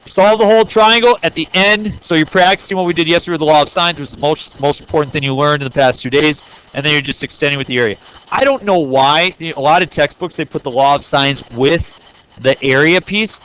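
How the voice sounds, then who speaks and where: Male teacher, higher register, playful and jokey, classroom delivery. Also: fast, fairly bright tone, smooth, poor audio. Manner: jokey, playful, talks straight to students. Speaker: math teacher. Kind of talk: classroom lesson recorded on video.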